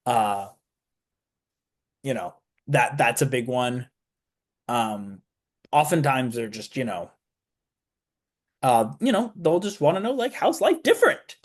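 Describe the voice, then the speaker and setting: Young guy on student radio, mid pitch, talks in loose rambling bits with tons of fillers. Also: sing-song, takes it slowly, long pauses. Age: young adult. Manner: rambling, many fillers and restarts. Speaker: student guest. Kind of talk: casual radio interview. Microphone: studio mic.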